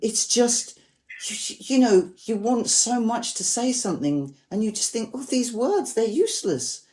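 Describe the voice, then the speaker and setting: A woman poet speaking in an earnest, reflective way about language, slowing down to stress her ideas. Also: a little high, sing-song, darkish tone. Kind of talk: reflective conversation about poetry.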